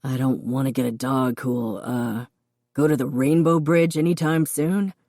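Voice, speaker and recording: A woman voicing a grown man who talks in a dry, gruff, understated way. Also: extremely low pitch, fairly even intonation, a little slow, mellow, dry recording, full character voice.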